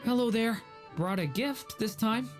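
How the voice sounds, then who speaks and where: A woman's voice: fairly high pitch, measured pace, music in the background, close to an everyday voice. A woman voicing an earnest, modest young would-be warrior in a video game, with a sincere delivery. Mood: hesitant, friendly.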